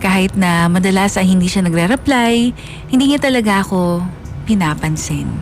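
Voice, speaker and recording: Woman playing a wistful young woman confessing, mid-pitched. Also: normal speed, close to an everyday voice. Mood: wistful, longing. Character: wistful young college woman confessing her unrequited love. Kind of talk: first-person radio drama narration. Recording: music bed under the voice.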